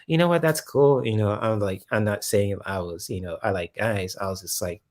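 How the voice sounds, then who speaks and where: A man's voice: lowish voice, moderate pace, darkish tone. A man in his thirties hosting a casual live chat, rambling with lots of fillers. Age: 30s. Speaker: host.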